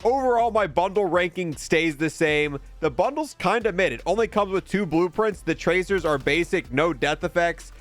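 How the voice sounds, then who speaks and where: A man's voice: high-pitched, expressive, moderate pace, few pauses, soft background music. A man giving casual commentary as he reviews video game content. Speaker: gaming video creator reviewing a game bundle.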